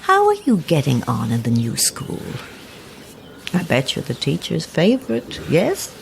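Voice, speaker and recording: A woman's voice: sits low, wildly swooping pitch, a little slow, gravelly, a little hiss or hum, full character voice. An actress playing a sweet, cooing elderly woman neighbour with a faintly sinister undertone in a naturalistic audio horror drama. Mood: affectionate. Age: older. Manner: cooing, coaxing, grandmotherly. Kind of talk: naturalistic immersive radio drama acting.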